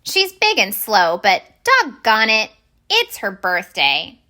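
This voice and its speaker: A woman voicing a tiny, friendly young minnow in a picture book, chirping with earnest excitement. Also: mid-range voice, slow, heavily acted.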